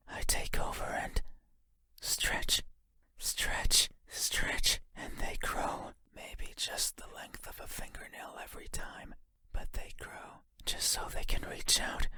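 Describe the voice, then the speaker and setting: An actor playing a creepy, sorrowful giant creature in a horror game, whispering slowly and intimately in a breathy, musing way. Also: male voice, pushed and bright. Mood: eerie, longing. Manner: slow, intimate, deliberate, musing.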